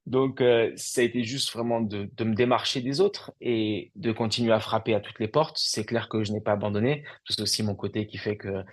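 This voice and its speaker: A man's voice: fairly low pitch, steady tone, brisk, hardly any pauses. A young man, an entrepreneur, telling his own story in a loose, chatty way full of fillers. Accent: French. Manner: loose and conversational, lots of fillers. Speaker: entrepreneur guest. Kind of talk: casual testimonial interview.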